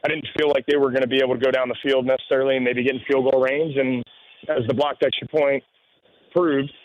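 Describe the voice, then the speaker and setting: Muffled radio audio, male football coach explaining stuff off the cuff, mid pitch, lots of you knows. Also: level tone, fast talker. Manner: explanatory, sprinkled with "you know". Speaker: high school football coach. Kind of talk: spontaneous interview answers.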